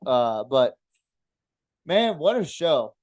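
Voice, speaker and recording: A man's voice: a little high, sing-song, takes it slowly, a little mellow. A man hosting a sports podcast in a casual, blunt way, swearing often as he talks. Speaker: podcast host.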